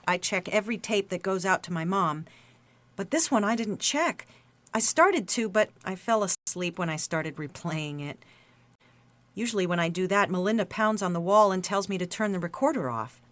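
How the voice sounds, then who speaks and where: An actress playing a young, excitable, chatty office worker in a radio drama, gushing and earnest. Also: female voice, mid-range voice, normal speed, dry recording, lightly acted. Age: young adult.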